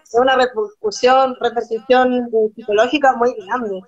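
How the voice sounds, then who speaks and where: A young woman from Chile speaks with a Chilean accent, earnest and fast, circling back to restart her sentences. Her voice sits a little high. The sound is dull and lo-fi, as from a phone camera on a video call. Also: fairly even intonation, husky. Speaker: guest, documentary photographer. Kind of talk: casual live-stream interview. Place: small room at home, indoors.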